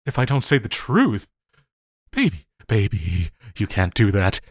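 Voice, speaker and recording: A man playing an obsessive, lovesick supervillain in an intimate audio roleplay, halting and crooning, by turns sinister and pleading. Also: on the low side, sing-song, husky, dry recording, clean studio sound, exaggerated voice. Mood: panicked, pleading. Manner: halting, crooning, breaking into laughter.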